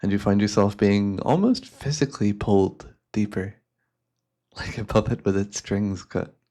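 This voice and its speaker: A man playing a devious, cultured hypnotist and collector in a fantasy ASMR roleplay, speaking in florid, theatrical phrases with a softly coaxing manner. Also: fairly low pitch, moderate pace, close to an everyday voice. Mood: entranced, soothing.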